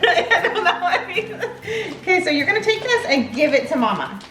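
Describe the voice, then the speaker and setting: A woman's voice: very high-pitched, sing-song, normal speed, roomy sound, over music. A woman, chatting in a sing-song way with young children. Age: 30s. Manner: sing-song, talking to little kids and dog. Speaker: mom in a family vlog. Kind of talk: casual chat with young children.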